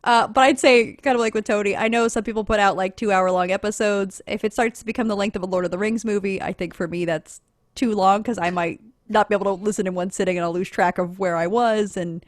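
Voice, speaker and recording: A woman's voice: medium pitch, steady tone, fast talker, no room sound. A woman talks casually as a podcast panelist. Her manner is chatty and wry, and she laughs easily. The sound is close and dry, with hardly any room to it.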